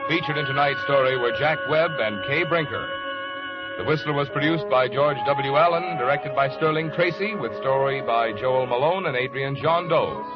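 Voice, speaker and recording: A man's voice: mid-range voice, normal speed. An American man announcing in a polished, emphatic 1940s radio style. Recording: dull vintage radio recording, faint music.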